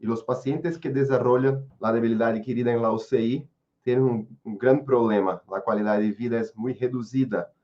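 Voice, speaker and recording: A man who is a native Portuguese speaker with a Brazilian accent, giving a webinar talk in careful, halting speech full of restarts and fillers. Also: medium pitch, steady tone, darkish tone, muffled recording. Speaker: guest speaker, researcher. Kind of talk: webinar presentation.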